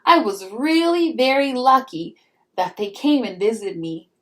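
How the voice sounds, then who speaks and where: A woman's voice: on the high side, animated, a little slow, a bit bright. A woman voicing a dramatic little girl who craves sweets and tells her own storybook tale with exaggerated emphasis. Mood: puzzled, rueful.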